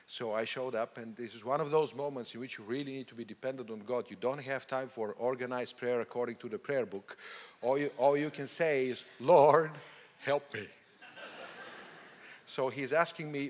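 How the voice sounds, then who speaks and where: A man with a Bulgarian accent answers questions on stage as a missionary guest, halting and restarting as he goes. His voice sits at an ordinary male pitch. A long reverb follows him through a large hall. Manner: halting, with many restarts and fillers. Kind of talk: interview on stage.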